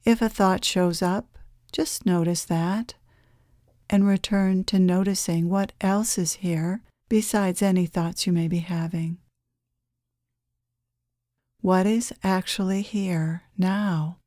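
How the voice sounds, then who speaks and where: A woman leads a guided meditation in a soft-edged, mellow voice. She speaks very slowly and gently, leaving long, soothing pauses. The sound is dry, with very little room around it. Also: on the low side, fairly even intonation, no room sound. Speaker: guided meditation leader, channeler.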